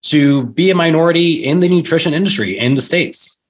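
A man's voice: fairly high pitch, brisk. A man hosting a podcast, joking loosely and asking his guest questions with many fillers. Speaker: podcast host. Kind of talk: casual chat.

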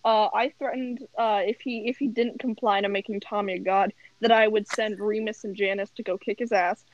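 A young woman hosting a casual call with friends, telling stories excitedly, swearing and giggling as she talks. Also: medium pitch, normal speed, lo-fi sound. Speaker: host of a friends' chat. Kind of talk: casual chat. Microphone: home computer mic on a call.

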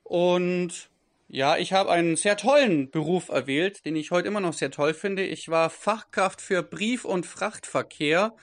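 A man in his thirties from Germany talks as an interview guest over a video call. His voice sits high. He rambles, pauses with fillers and often corrects himself mid-sentence. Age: 30s. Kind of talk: conversational interview.

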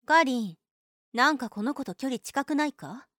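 A woman playing a high-voiced, blunt, possessive young nurse. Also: expressive, moderate pace, fairly bright tone, dry recording, clean studio sound. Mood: suspicious, stern. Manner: blunt boyish phrasing, doting toward the patient. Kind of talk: Japanese anime-style situation voice drama acting.

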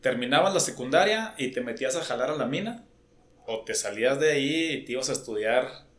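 A middle-aged man, a podcast guest telling stories about his life in a relaxed, joking way. He often repeats a word as he starts a thought. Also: mid-range voice, brisk. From Coahuila, Mexico. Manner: relaxed, jokey, repeats words when starting. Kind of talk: casual storytelling over beers.

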